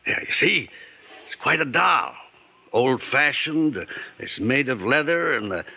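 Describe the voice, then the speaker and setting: A man's voice: mid-range voice, animated, a little slow, vocal fry, bright, forceful tone, breathy edge, full character voice. An actor playing a nervous, guilty neighbor in old-time radio suspense, stammering and evasive as he talks. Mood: tense, pressing. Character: shifty, nervous adult neighbor man hiding a guilty secret. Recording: vintage radio recording, light noise and clicks.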